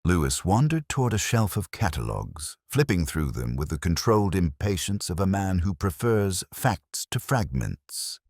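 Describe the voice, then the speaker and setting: A man reading a scripted first-person detective story in a measured, brooding, reflective manner. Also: deep voice, sing-song, gravelly, darkish tone, no echo, studio quality. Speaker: narrator.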